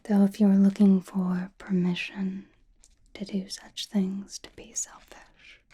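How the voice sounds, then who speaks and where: A woman playing a calm, knowing, teasing sorceress who reads tarot in an ASMR roleplay, with a breathy, soothing whisper and deliberate delivery. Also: mid-range voice. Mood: gentle, knowing. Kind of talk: ASMR roleplay, personal attention.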